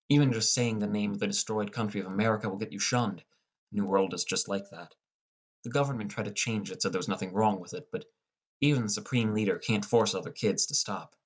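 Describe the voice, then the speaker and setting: A young man reading a scripted first-person audiobook as a depressed high school boy. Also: breathy edge. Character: depressed, irritable high school boy telling his story. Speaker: audiobook narrator.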